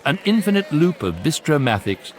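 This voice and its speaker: An actor playing the wry, learned narrator of a galactic guidebook in deadpan, articulate scripted radio comedy. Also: male voice, a little high, a crowd in the background. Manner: deadpan, articulate, measured phrasing.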